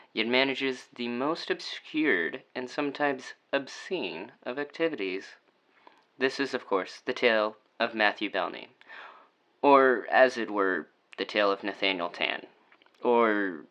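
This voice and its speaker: A man's voice: medium pitch, pushed and bright. A man playing a brooding, sardonic storyteller in an audio drama, narrating in a wordy, formal, theatrical way. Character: brooding, sardonic male storyteller of a dark tale.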